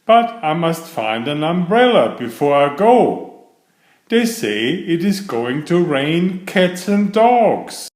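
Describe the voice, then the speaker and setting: A fussy, hurried lady of the house from a children's storybook, as a man puts her on. She speaks in a flustered, emphatic way, louder and higher than a plain voice. The sound carries a roomy echo. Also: sing-song.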